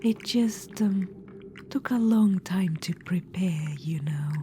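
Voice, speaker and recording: An ancient undead witch-queen, voiced by a woman, whispers in a slow, mellow, slightly low voice, in the style of an ASMR audio roleplay. She is vain and scheming, condescending and coaxing, and calls the listener darling. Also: slight background noise. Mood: sly, coaxing.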